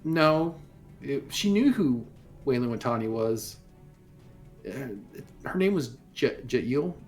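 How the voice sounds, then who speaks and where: A man's voice: mid-range voice, expressive, slow pace, darkish tone, faint music behind. Man playing an earnest android crewman. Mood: apologetic, reporting. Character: earnest male android crewman reporting to his captain. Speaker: player. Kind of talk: in-character tabletop roleplay.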